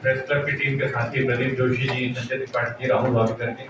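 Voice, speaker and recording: A man's voice: mid-range voice, level tone, fast. Man giving formal welcome, heavily echoing hall. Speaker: speaker welcoming guests. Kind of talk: formal welcome address listing guests. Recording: very echoey hall, distant, muffled, noisy.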